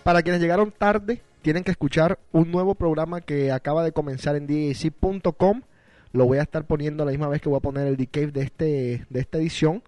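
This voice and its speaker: A man's voice: fairly high pitch, fairly even intonation, brisk, warm tone. A man hosting a casual radio talk show, teasing his co-host and joking around. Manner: teasing, playful, chatty with restarts.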